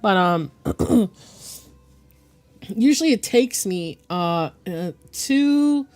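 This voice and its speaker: A woman streaming while she draws, her voice hoarse and deepened by bronchitis, talking in a halting, rambling way and clearing her throat often. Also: animated, darkish tone, faint music behind. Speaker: digital art streamer. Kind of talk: casual live-stream chat.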